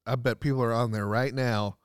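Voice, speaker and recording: A man's voice: mid-range voice, fairly even intonation, brisk, clean tone, no echo, clean studio sound. A man co-hosting a casual podcast, playful and joking, laughing a lot. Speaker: podcast co-host.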